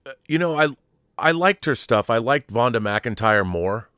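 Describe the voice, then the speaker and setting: A man talks as the host of a fan show, theatrical and playful in his delivery. His voice sits at a middling pitch, and the sound is dry, with little room around it. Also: animated, moderate pace, bright, forceful tone, clear, resonant tone, no echo. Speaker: host of a pop culture show. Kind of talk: showy scripted-sounding intro and casual fan discussion.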